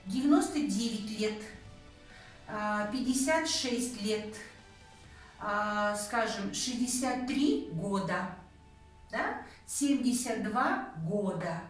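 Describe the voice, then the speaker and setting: A woman's voice: medium pitch, animated, takes it slowly. A woman teaching a language lesson, patiently counting through examples and checking in with her student. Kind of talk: explaining a grammar point, counting through examples. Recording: very echoey room, voice from a distance, faint music.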